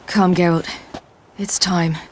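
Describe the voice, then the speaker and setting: A woman's voice: lowish voice, little pitch movement, takes it slowly, darkish tone, a little hiss or hum. An actress playing a bold, determined young warrior woman in a video game, direct and clipped. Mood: cold, resolute. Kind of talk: dramatic video game acting.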